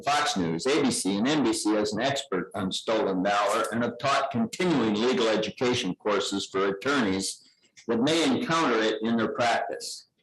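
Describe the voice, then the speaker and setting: An older man reading formally and deliberately at a public meeting, occasionally stumbling over the written words. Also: medium pitch, fairly bright tone, low-quality recording. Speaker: veteran representative at public comment. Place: indoor public board meeting room.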